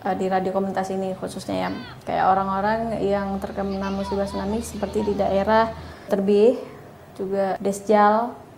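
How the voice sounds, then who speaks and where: Woman answering interview qs hesitantly, even monotone, roomy sound. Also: medium pitch, normal speed, creaky. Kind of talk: interview talk. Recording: echoey room with faint noise.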